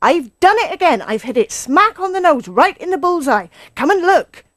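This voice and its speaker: A woman's voice: medium pitch, animated, unhurried, no echo. A woman voicing a sly, confident male fox in a children's story, suave and assured. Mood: triumphant.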